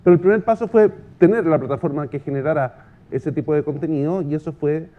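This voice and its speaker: A Chilean man giving a semi-improvised conference talk in a halting, thoughtful way, often pausing mid-phrase. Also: on the high side, highs cut off. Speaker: podcast company founder giving a presentation.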